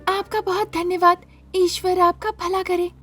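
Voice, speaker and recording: A woman's voice: very high-pitched, level tone, unhurried, fairly bright tone, voice pushed up. A woman voicing a frightened, polite young wife who pleads plaintively in a dramatised story, with music behind her. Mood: grateful. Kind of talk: dramatised Hindi audio story.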